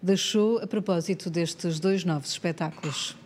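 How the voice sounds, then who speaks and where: A woman leads the interview with measured, considered questions. Her voice sits a little low for a woman, calm and steady as she hosts. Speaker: radio culture show host. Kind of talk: radio interview.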